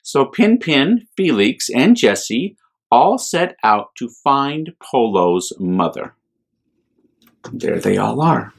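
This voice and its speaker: An American man, a priest hosting a children's storytime, reads aloud in a gentle, expressive, unhurried way. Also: mid-range voice, gravelly.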